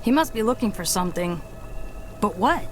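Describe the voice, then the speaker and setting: A woman's voice: mid-range voice, lively intonation, normal speed, faint music behind, natural voice. A woman voicing a thoughtful, level-headed female Smurf, reasoning earnestly in a cartoon video game.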